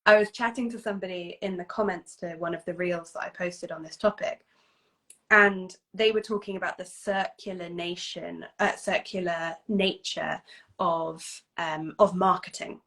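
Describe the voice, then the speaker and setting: A woman hosting a live video interview, enthusiastic and talkative, with quick asides and acted-out quotes. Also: lowish voice, fairly even intonation, fairly bright tone. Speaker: host, English teacher. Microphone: video call.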